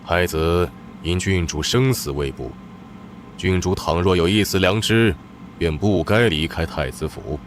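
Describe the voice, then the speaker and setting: A man voicing formal, grave court retainers in an animated period drama. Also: low-pitched, unhurried. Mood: grave, reproachful. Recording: clear background noise behind voice.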